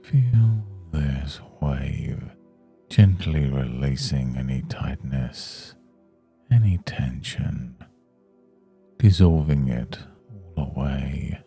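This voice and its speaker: Very deep, slow, gravelly male meditation guide. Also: expressive, soft background music. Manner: soothing, hushed, unhurried delivery. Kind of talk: guided yoga nidra meditation.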